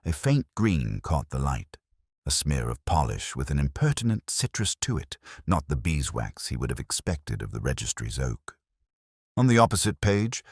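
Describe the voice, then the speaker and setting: A man with an extremely low, slightly rough voice narrates a mystery story. His delivery is measured and dryly wry, with lively rises and falls. The sound is close and dry, with little room around it. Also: no room sound. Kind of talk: scripted audiobook-style mystery narration.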